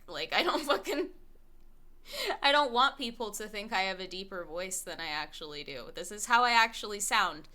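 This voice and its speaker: A woman streamer thinks out loud to her audience, her voice bright and light with little bass behind it. She swears easily, circles back on her thoughts and laughs through her sentences. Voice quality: small light voice without much bass. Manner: candid, profane, rambling, laughs often. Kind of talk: casual chat with stream viewers.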